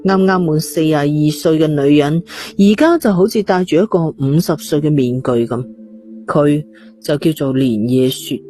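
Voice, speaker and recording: A woman narrator reads a story from a script. Her voice is on the low side and very soft-toned and warm. Faint music runs behind her. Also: moderate pace. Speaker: audiobook narrator.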